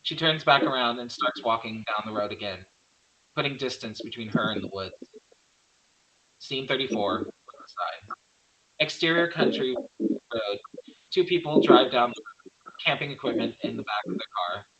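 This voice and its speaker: A man narrates a screenplay, reading out action and scene headings. His voice is mid-pitched, bright and forceful. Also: lively intonation, normal speed, pauses often, muffled recording, a little hiss or hum. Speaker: narrator reading stage directions. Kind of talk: scripted narration of horror screenplay.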